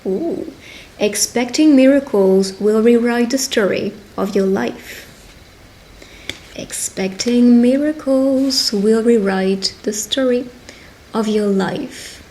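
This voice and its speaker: A woman's voice: on the high side, dark tone, slight background noise. Woman giving an encouraging fortune reading. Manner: encouraging, conversational, pauses to interpret. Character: friendly female witch giving a love reading. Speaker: tarot reader and roleplay video host. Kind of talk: intimate roleplay fortune-telling addressed to the listener.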